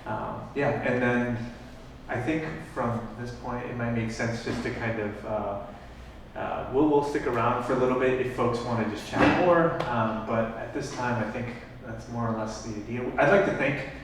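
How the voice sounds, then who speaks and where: A man's voice: mid-range voice, normal speed, slight background noise. A man organizing an event, making loose announcements to the audience with many fillers. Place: large room at a live event. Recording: echoey hall, speaking from far off.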